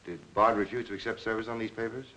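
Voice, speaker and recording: An actor playing a businesslike police lieutenant in a 1940s crime film, measured, polite and probing. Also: male voice, lowish voice, steady tone, sharp tone, rough recording. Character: steady, businesslike police lieutenant questioning witnesses. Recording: hissy old 1940s film soundtrack.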